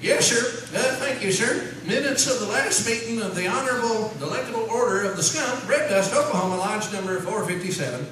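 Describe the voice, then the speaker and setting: A man's voice: high-pitched, animated, brisk, few pauses, fairly bright tone. A man playing an eager, chatty lodge secretary in a comedy radio sketch, reading out minutes in a folksy, fussy way. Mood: officious. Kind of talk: live comedy radio-show sketch acting. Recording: echoey hall, distant mic, faint noise.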